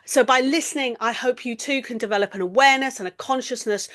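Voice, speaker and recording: A middle-aged woman from the East Midlands with an English accent, hosting a reflective solo podcast in an earnest, deliberate way with emphatic pauses. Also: fairly high pitch, a bit bright. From East Midlands, England. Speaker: podcast host.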